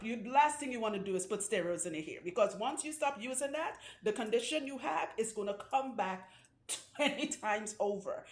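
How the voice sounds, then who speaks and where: A woman loc stylist hosting her channel, chatting casually and jokingly with viewers while she works on a client's hair in a salon room. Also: medium pitch. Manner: chatty, jokey, outspoken. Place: indoor salon room with a couch. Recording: roomy indoor sound.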